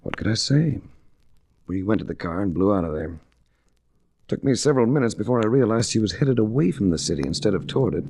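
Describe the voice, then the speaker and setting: A man's voice: fairly low pitch, lively intonation, fast talker, creaky, a little mellow, breathy edge, no echo, exaggerated voice. An actor playing a wry, hard-boiled adventurer-investigator who narrates his own case in a 1940s radio drama. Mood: wry narration. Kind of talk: 1940s radio detective acting. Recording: restored 1940s radio recording.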